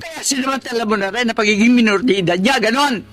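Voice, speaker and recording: An actress playing a wounded, long-suffering wife in a Filipino radio drama, proud, emotional and accusing. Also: female voice, a little high, fast, fairly bright tone, lightly acted. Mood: hurt, reproachful.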